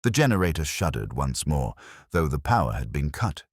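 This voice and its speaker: Very deep-voiced man narrating a story. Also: very animated, normal speed, no echo, studio quality. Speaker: audiobook narrator. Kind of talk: scripted dramatic story narration.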